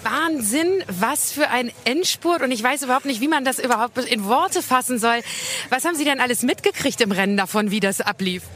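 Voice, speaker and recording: Give me an eager woman sports reporter with a bright voice. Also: on the high side, expressive, fairly quick. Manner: enthusiastic questioning. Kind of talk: field interview.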